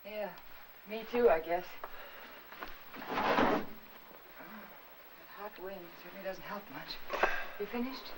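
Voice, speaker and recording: An actress playing an anxious, dutiful young American housewife, polite and placating, in the style of 1950s Hollywood film drama. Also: female voice, mid-range voice, slow pace, long pauses, heavy background noise, lightly acted. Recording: vintage film soundtrack with orchestral score.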